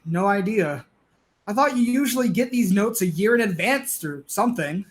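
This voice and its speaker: Fussy, accusing neighbor played by a man, high voice, sarcastic complaints in a stage comedy. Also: expressive, moderate pace. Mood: bewildered, indignant. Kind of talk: comedic stage play acting.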